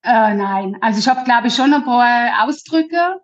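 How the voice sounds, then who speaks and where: A woman from Lower Franconia with a Lower Franconian accent, a cheerful, talkative podcast guest who often restarts her sentences. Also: animated, unhurried. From Lower Franconia, Germany. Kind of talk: casual interview chat. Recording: dull lo-fi sound.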